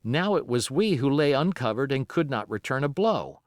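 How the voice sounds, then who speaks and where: A man narrating an adventure novel as an audiobook, in an expressive, measured, dramatic storytelling style. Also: medium pitch, no room sound, clean studio sound.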